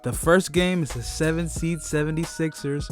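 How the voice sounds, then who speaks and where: A man's voice: on the high side, normal speed, faint music behind. A man hosting a comedic sports recap, narrating in profane slang with a mock-dramatic streak. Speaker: sports recap video host. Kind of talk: scripted comedic sports commentary.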